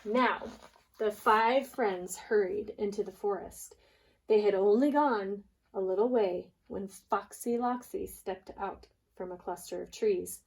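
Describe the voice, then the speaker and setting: A woman preschool teacher reading aloud and leading a lesson, deliberate and expressive for small children. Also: medium pitch, low-quality recording.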